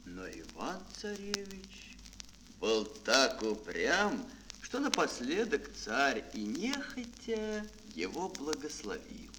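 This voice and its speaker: A man narrating a children's fairy tale theatrically, with dramatic phrasing and pauses, as a storyteller in an audio play. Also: mid-range voice, wildly swooping pitch, noisy background. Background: music.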